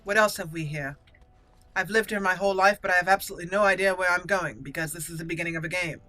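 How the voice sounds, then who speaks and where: A woman's voice: fairly low pitch, quick pace, pushed and bright, faint music behind. A woman giving casual, chatty, playful commentary as she hosts a let's play gaming video.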